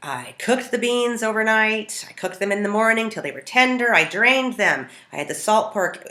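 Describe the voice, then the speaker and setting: An American woman from Montana telling stories casually to camera as a home cook, in a conversational, animated way. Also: medium pitch. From Montana, United States. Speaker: home cook hosting a food video. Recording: roomy, echoing sound.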